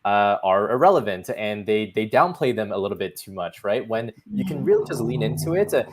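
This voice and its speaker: A man giving casual advice as a panelist, informal and halting, leaning on "like" as a filler. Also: on the low side, big pitch swings. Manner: informal, halting, heavy use of "like". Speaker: panelist, product designer.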